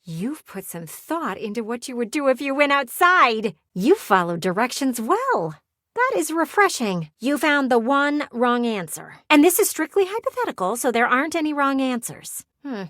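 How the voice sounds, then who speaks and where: An actress voicing a playful, sardonic living window in a video game, with a teasing, theatrical and blunt delivery. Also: female voice, high voice, sing-song, a bit bright, dry recording, clean studio sound. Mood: teasing, bossy. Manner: teasing, theatrical, blunt, sighs and drawn-out words. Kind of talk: video game character voice acting.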